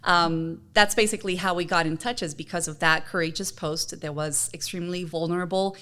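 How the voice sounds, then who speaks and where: A woman's voice: lowish voice, steady tone, fairly quick, a bit bright. A woman from Honduras co-hosting a podcast, chatting casually and personally in an earnest, encouraging manner. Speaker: podcast co-host.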